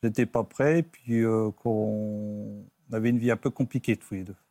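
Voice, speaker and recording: A middle-aged man, a talk show guest recalling his own life in a halting, plain-spoken, casual way. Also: on the low side, fairly even intonation, dark tone, dry recording, soft background music. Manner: halting, plain-spoken, often tags phrases with a filler. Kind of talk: casual personal recollection. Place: TV studio.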